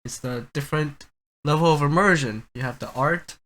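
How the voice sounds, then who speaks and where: A man's voice: fairly high pitch, normal speed, a bit bright. A man co-hosting a podcast, chatting casually in a loose, slangy way. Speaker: podcast co-host.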